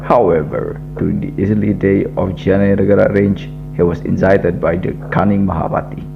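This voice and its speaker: A man's voice: sits low, steady tone, normal speed, creaky. A young man giving scripted historical narration as the narrator of a radio drama. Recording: dull lo-fi, music behind.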